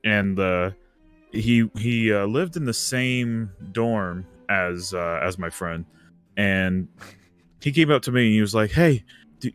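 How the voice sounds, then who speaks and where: A young man telling a casual personal story as a guest, halting and repeating words as he quotes dialogue. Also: medium pitch. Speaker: livestream guest. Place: online voice chat on a livestream. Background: music playing behind the voice.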